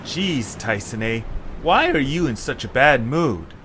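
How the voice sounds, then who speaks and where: A man playing an excitable, earnest young adventurer leading a stranded group in an audio drama. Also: medium pitch, a little slow. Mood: puzzled. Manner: exclamatory, animated, earnest. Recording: clear background hiss and noise.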